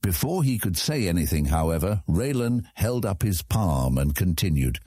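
A man narrating a fantasy audiobook in a measured, dramatic storytelling manner. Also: sits low, sing-song, mellow, breathy edge, no room sound, studio quality.

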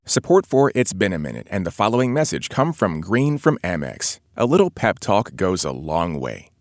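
A man reads a sponsor message in a fairly low voice. His read is smooth and upbeat, and his pitch moves around. The recording is dry and close. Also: big pitch swings, moderate pace, no echo. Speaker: ad announcer. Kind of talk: scripted ad read.

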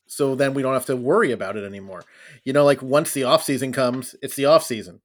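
A man's voice: mid-range voice, quick pace. A man co-hosting a casual podcast who keeps cracking jokes and teasing the others. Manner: wisecracking, playful. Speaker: podcast co-host. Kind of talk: casual chat.

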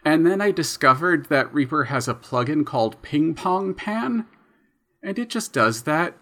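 A man's voice: fairly high pitch, expressive, unhurried, fairly bright tone. Thoughtful man talking shop. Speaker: podcast co-host and sound designer. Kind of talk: casual conversation.

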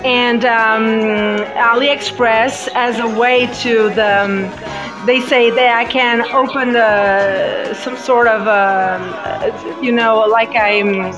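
A woman's voice: fairly high pitch, fairly even intonation, takes it slowly, highs cut off, music in the background. A woman whose first language is Portuguese, hosting a casual live podcast chat, hesitant and full of fillers and restarts. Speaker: podcast host.

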